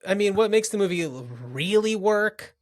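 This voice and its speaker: A man's voice: high-pitched, animated, a little slow. A man co-hosting a podcast, discussing movies casually and thinking aloud in a loose, conversational way. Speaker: podcast co-host.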